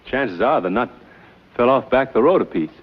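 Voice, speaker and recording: A man's voice: mid-range voice, lively intonation, normal speed, husky. An actor playing an easygoing American frontiersman who drawls with hesitant fillers. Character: easygoing frontiersman hero, adult man. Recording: tinny old TV soundtrack.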